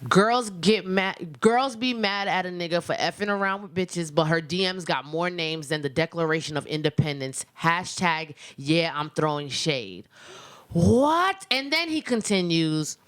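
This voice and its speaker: A woman's voice: lowish voice, animated, measured pace. An American woman radio host chatting casually and giving opinions in an emphatic, slangy way.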